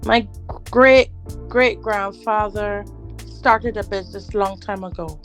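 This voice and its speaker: A woman's voice: medium pitch, takes it slowly, faint music behind. A middle-aged Barbadian woman, a guest in a casual interview, talking hesitantly with many fillers and restarts.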